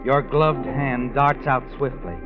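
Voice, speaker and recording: An actor playing a sinister, all-knowing narrator in a 1940s radio mystery, ominous and insinuating in manner. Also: male voice, mid-range voice, fairly even intonation, takes it slowly, lightly acted. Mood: insinuating, suspenseful. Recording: dull old radio recording with music.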